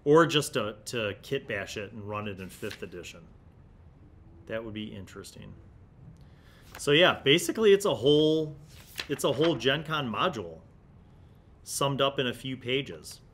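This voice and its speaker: Man, middle-aged, medium pitch, casual musing commentary, desk microphone, low rumble in the background. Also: lively intonation, moderate pace, pauses often, fairly bright tone. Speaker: host of a tabletop gaming review series. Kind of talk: casual review commentary, reading magazine excerpts aloud. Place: small indoor studio room. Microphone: desk microphone in front of him.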